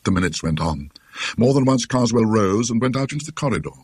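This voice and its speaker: A British man narrating a classic ghost story in a dry, scholarly, measured literary manner. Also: fairly low pitch, expressive, a little mellow, breathy edge, dry recording. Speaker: audiobook narrator.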